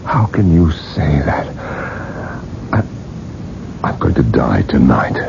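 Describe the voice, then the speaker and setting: An actor in a radio drama playing a dying old industrialist, weak and desperate, with faltering speech. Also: male voice, rumbling low, sing-song, takes it slowly, vocal fry, exaggerated voice. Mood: weary, resigned. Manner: weak, faltering, effortful delivery. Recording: noisy, dull old radio broadcast.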